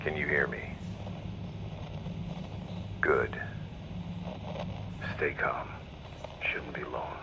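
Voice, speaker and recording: An actor playing a grim, commanding masked vigilante in an audio roleplay, giving terse orders in a gravelly voice. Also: male voice, very deep voice, fairly even intonation, very slow, pauses often, noisy background, full character voice. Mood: grim, reassuring. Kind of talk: ASMR roleplay acting.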